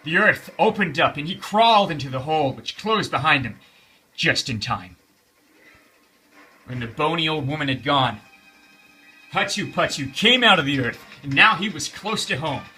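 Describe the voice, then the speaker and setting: A man narrates a bedtime story for children with theatrical emphasis. His voice is fairly high and very bright, swinging through lively pitch changes over music. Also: moderate pace, long pauses, husky. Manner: theatrical, emphatic, repeating phrases for suspense.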